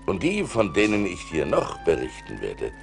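An actor playing a rich seafaring merchant who recounts his adventures as an expressive storyteller in a radio play. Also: deep voice, moderate pace, creaky, heavily acted. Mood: gracious. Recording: vinyl record transfer with music behind.

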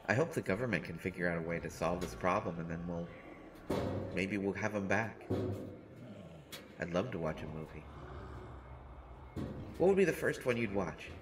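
A man's voice: deep voice, expressive, normal speed, pauses often, heavy background noise. A man playing a shy, nervous survivor, speaking with constant stammers and restarts in a rambling, self-deprecating way. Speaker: audio roleplay performer. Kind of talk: scripted audio roleplay monologue.

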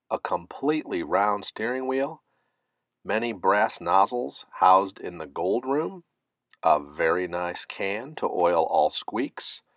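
Slow, expressive man reading a storybook. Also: low voice, no room sound, clean studio sound. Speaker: storybook reader. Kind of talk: children's picture book read-aloud.

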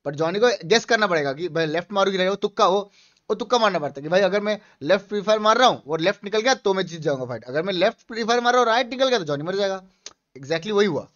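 A man's voice: high-pitched, expressive, quick pace, a bit bright. A man, chatting casually as a gaming streamer and explaining things with repetition, on a headset mic. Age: 20s. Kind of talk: casual live-stream chat and game commentary. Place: small room indoors. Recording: slightly dull, lo-fi stream audio.

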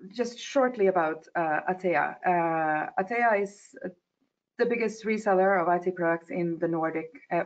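A woman from Finland presents over a video call, her voice slightly low. She pauses often with fillers as she goes. The audio is dull and lo-fi. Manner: hesitant, many uh fillers. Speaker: climate manager presenting. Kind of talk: webinar presentation.

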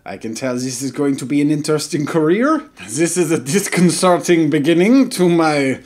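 Man putting on a high, pompous French artist. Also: lively intonation, moderate pace, a little mellow, close to an everyday voice. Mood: wounded, pompous. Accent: exaggerated fake French. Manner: theatrical, dramatic, self-mocking. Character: pompous, melodramatic, wine-loving French male artist stuck in tower. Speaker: gaming video host. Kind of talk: in-character game commentary.

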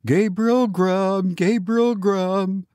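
A wild chorus of goblin spirits from a storybook, put on by a male narrator. The voice is high and very slow, a taunting, wailing chant that stretches every word. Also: steady tone, dark tone, smooth, no echo, studio quality. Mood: wild, accusing. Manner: drawn-out, wailing, screamed chant.

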